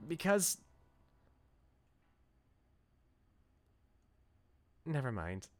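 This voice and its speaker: A man's voice: on the high side, very animated, moderate pace, pitched above the performer's own voice. A man voicing an earnest, devoted young woman from a visual novel, reading her lines with emphasis. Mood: subdued, resigned. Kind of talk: reading visual novel dialogue aloud in character. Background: game music.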